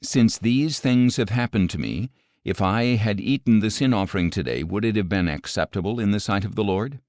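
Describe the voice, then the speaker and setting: A man with a fairly low voice reads scripture as an audiobook narrator. His delivery is solemn and measured, with each phrase set out deliberately. The sound is very dry, with no room around the voice. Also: a little mellow, no room sound.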